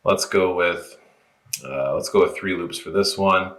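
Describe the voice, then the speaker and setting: A man's voice: sits low, a little slow, fairly bright tone. A man presenting an informal, unscripted app walkthrough, explaining each step as he goes in a roomy space. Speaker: product tutorial presenter.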